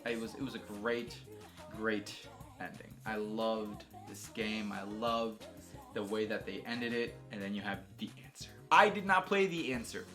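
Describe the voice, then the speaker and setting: A man's voice: on the low side, unhurried, over music. A man giving casual, rambling video game commentary in an enthusiastic, informal, slangy way, often repeating words and circling back. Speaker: video game reviewer and host.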